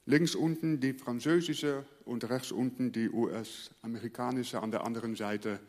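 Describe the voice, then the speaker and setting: A man's voice: mid-range voice, fairly even intonation, moderate pace, darkish tone. Male pastor, Dutch native speaker, earnest sermon, mid-range voice, reverberant hall. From Netherlands.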